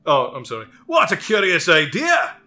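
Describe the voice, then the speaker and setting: A man voicing an impatient male math wizard who lectures loudly and commandingly, with faint guitar music behind. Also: on the high side, huge pitch swings, normal speed, voice pushed up, full character voice.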